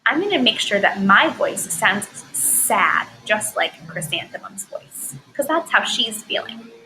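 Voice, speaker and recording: Expressive woman teaching young kids. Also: mid-range voice, unhurried, a little hiss or hum, rough recording. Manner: clear, expressive, encouraging teacher talk. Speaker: first grade teacher. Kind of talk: recorded classroom lesson.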